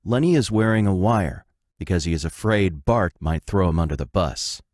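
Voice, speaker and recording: Male narrator: low-pitched, reads stage directions and scene headings for a radio play. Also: expressive, moderate pace, a little mellow, no room sound, clean studio sound.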